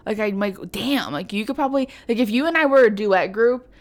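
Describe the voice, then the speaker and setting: A woman's voice: mid-range voice, fairly quick. A young adult woman co-hosting a casual chat, rambling with many fillers and poking fun at herself. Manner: self-mocking, playful. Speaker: podcast co-host.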